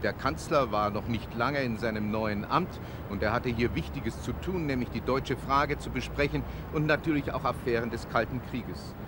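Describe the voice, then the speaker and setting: Older male correspondent, reflective to-camera piece, traffic rumble from the street below. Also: normal speed, hardly any pauses. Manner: calm, reflective, retakes lines. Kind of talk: on-camera recollection to camera. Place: rooftop outdoors in a big city. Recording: outdoor take with city traffic noise.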